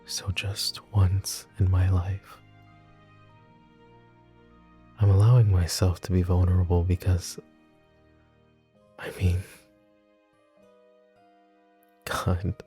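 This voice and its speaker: A man playing a flirty, possessive mafia boss who is smitten and vulnerable, speaking in soft, teasing, intimate ASMR roleplay. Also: deep voice, fairly even intonation, a little slow, faint music behind, exaggerated voice. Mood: tender, vulnerable. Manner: soft teasing banter with breathy chuckles.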